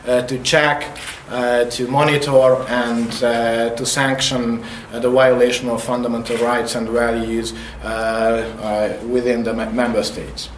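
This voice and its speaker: A Hungarian man speaking English with a Hungarian accent, giving opening remarks at a workshop, pausing often on fillers. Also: medium pitch, level tone, reverberant, slight background noise, rough recording. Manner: hesitant, many filler pauses.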